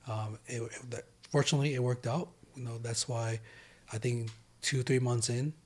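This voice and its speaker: A man from Taiwan, a law firm owner guesting on a podcast in a studio, talking in a steady, plain-spoken way. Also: mid-range voice, moderate pace. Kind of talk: casual interview chat.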